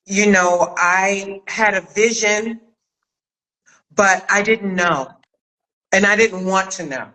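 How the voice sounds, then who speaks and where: A woman's voice: medium pitch, slow, long pauses, pushed and bright, poor audio. A woman hosting a casual interview about creative craft, speaking emphatically and directly to her guest as a fellow theater director.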